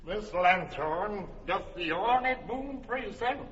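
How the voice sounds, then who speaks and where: A man's voice: high voice, expressive, slow, a bit bright, heavily acted. An actor playing a nervous workman who plays the Moon and stammers through his lines in a classic radio drama. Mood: flustered. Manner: stammering, flustered. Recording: roomy dull broadcast with audience.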